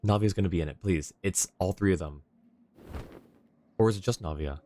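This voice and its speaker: A man's voice: low-pitched, fairly quick, mellow, slight background noise. A man giving casual, reactive live commentary as a game streamer, in an informal way. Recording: game music under the voice.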